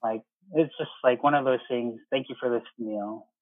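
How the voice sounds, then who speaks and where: A man's voice: mid-range voice, steady tone, normal speed, no room sound. Man, thirties, interview guest, conversational storyteller, dry phone-like sound, muffled. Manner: conversational, retells remembered exchanges in quoted speech. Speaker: interview guest, air traffic controller. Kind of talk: casual personal storytelling in an interview.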